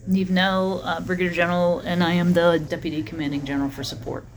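A middle-aged woman army general answering interview questions in a matter-of-fact, steady way. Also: lowish voice, fast, slight background noise, rough recording.

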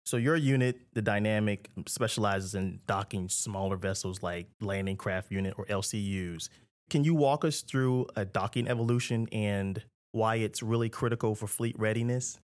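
Male interviewer, low voice, encouraging and upbeat, reading prepared questions. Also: normal speed.

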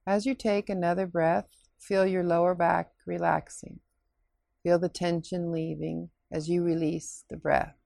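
A woman leading a scripted guided relaxation meditation in a soothing, unhurried, instructing way with pauses. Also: lowish voice, level tone, vocal fry, a little mellow, no echo.

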